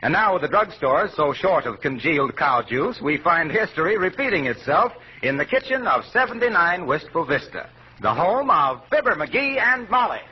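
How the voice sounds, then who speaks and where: A man's voice: fairly high pitch, sing-song, moderate pace, noisy background. An American man announcing in polished, scripted 1940s radio style. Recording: muffled 1940s radio broadcast.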